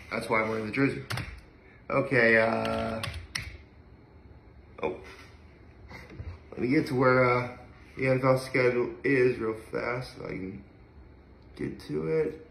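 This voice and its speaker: A man's voice: mid-range voice, measured pace, gravelly, pauses often, fairly bright tone, a little hiss or hum. A young man chatting casually to the camera in a rambling, hesitant way that often trails off, recorded at home a few feet from a phone. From upstate New York. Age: young adult. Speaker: daily vlogger. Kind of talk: casual solo chat to camera. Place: indoor living room at home. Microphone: phone camera a few feet away. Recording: roomy, echoey home recording.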